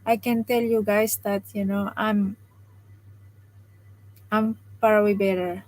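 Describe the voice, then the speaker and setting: Woman, slow pace, soft low-volume voice, tired after jaw surgery, live stream chat. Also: level tone, low-quality recording. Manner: speaks softly, restarting phrases, laughing often. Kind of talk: casual live stream chat reading comments.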